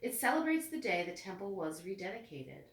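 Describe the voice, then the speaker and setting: A woman's voice: medium pitch, sing-song, brisk. Woman reading aloud in an echoey room. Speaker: children's story reader. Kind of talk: read-aloud storybook narration. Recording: echoey room, distant mic.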